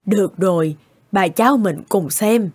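A woman voicing a kind, protective elderly grandmother in a Vietnamese cartoon, gentle and soothing. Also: medium pitch, unhurried, slightly rough, no room sound, clean studio sound. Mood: tender.

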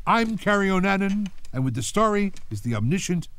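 A man's voice: sits high, sing-song, fairly quick, fairly bright tone, exaggerated voice. A man playing a pompous, chirpy news anchor in a mock radio newscast. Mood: pompous, upbeat.

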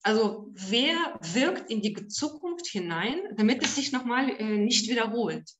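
Woman from Ukraine, panel guest on a video call; hesitant, halting delivery with lots of fillers, recorded in an echoey room. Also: fairly high pitch, animated, a bit bright, a little hiss or hum. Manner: hesitant, many fillers and restarts. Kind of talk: online panel discussion.

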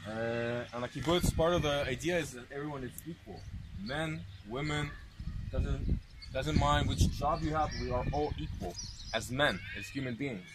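A young tour guide talks to a seated group outdoors, explaining things and asking them questions. His voice is mid-pitched and close to the camera. Birds and traffic hiss behind him. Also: normal speed. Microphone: handheld camera held close by the filmer. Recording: outdoor noise, birds and passing vehicles.

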